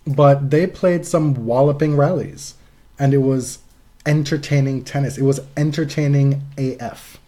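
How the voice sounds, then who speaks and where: A man with a relaxed, mellow timbre and middling pitch talks casually as a sports podcast co-host. He states his opinions emphatically and swears freely. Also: a little slow. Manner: emphatic, frequent profanity.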